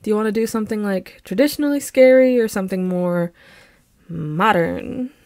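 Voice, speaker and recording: A woman playing an affectionate, playful, excitable young girlfriend in an intimate roleplay audio, with a bubbly, teasing and pleading manner. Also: mid-range voice, moderate pace, dry recording, studio quality, natural voice.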